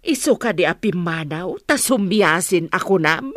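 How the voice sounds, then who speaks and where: A woman's voice: fairly low pitch, lively intonation, a little slow, dry recording. An actress with a Filipino accent playing a suspicious, nagging older mother who questions and scolds, in a radio drama. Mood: exasperated. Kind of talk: Ilocano radio drama acting.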